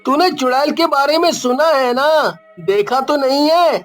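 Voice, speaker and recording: An actor plays a young village boy in an animated story, curious and eager. He speaks slowly with youthful, expressive exclamations and doubts the scary tales he hears. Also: high voice, faint music behind.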